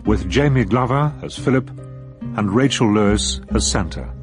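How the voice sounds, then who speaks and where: A man's voice: lowish voice, lively intonation, moderate pace. A man announcing drama credits in a scripted introduction. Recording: loud guitar music under voice.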